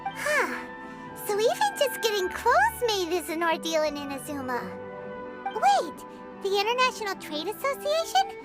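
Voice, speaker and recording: Squeaky, tiny childlike fairy sidekick. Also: female voice, wildly swooping pitch, unhurried, loud music behind the voice, heavily acted. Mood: curious, puzzled. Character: tiny, childlike, chatty, inquisitive fairy companion. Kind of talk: scripted video game dialogue.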